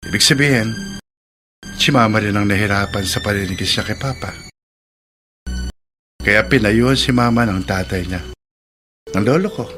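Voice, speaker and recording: A man playing a gentle, patient husband in a radio drama, reasoning in an earnest, soothing way. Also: lowish voice, normal speed, pauses often, a little hiss or hum. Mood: earnest, persuading. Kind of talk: Filipino radio drama acting. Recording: loud music bed under voice.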